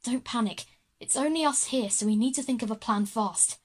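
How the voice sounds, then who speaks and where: A woman's voice: a little high, moderate pace, husky. A woman voicing a bold, decisive schoolgirl leader in a children's audio drama, urgent and commanding. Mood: firm.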